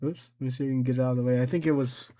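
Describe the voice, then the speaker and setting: A man giving a casual software tutorial, rambling and thinking aloud as he works through each step. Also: medium pitch, fairly even intonation, rapid-fire. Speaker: tutorial presenter.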